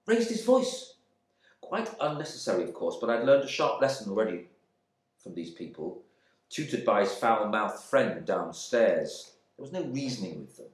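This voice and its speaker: A man playing a stuffy, bigoted husband back from war in a dramatic monologue, speaking with pompous indignation. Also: medium pitch. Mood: affronted. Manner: pompous, clipped indignation, swinging from muttering to outbursts. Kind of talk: dramatic monologue reading from a novel. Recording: echoey room.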